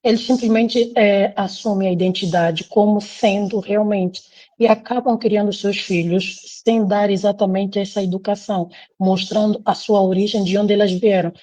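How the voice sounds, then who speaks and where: A woman's voice: medium pitch, fairly even intonation, normal speed, warm tone. An Angolan woman with a Brazilian-tinged Angolan Portuguese accent, hosting a live talk and speaking emphatically, repeating phrases for stress. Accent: Angolan Portuguese with Brazilian influence. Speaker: live show host. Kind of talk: casual conversational interview.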